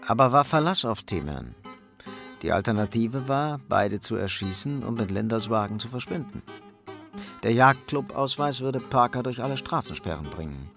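An actor as the dry, detached narrator of a German radio crime drama, reading scripted narration. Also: male voice, mid-range voice, expressive, normal speed, gravelly, a bit bright. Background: plucked guitar music.

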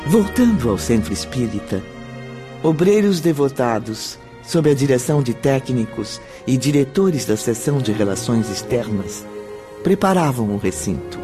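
A man with a Brazilian accent as the solemn storyteller of a radio drama, narrating in a formal, deliberate manner. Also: mid-range voice, sing-song, natural voice. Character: solemn omniscient male storyteller. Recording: music clearly behind the voice.

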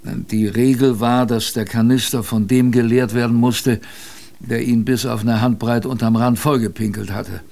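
A man's voice: medium pitch, fairly even intonation, moderate pace, gravelly, darkish tone, lightly acted. A man playing a former polar explorer who recounts past hardships in a wry, conversational way, as narrator of a German radio drama. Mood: amused, colloquial. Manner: wry, colloquial, thoughtful.

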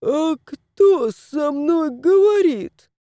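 A woman voicing a sly old male vet who speaks slow, broken Russian. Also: high-pitched, animated, mellow, dry recording, clean studio sound, voice pushed up, exaggerated voice.

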